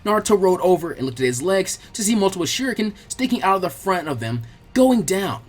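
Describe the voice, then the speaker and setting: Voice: mid-range voice, very animated, quick pace. A narrator reading an action story aloud as a dramatic, scripted audiobook. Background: background music.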